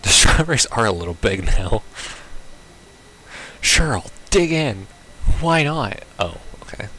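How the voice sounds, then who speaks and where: A man's voice: on the low side, sing-song, unhurried, bright, forceful tone, a little hiss or hum. A man giving casual let's-play commentary on a visual novel, reading text aloud and reacting in a wry, offhand way. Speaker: gamer playing a visual novel.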